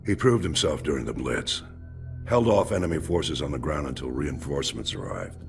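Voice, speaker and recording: A man playing a stern, gruff older military commander in a video game, with a gravelly voice. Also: extremely low pitch, expressive, normal speed, faint music behind, exaggerated voice. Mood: grave, assessing. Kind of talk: video game character acting.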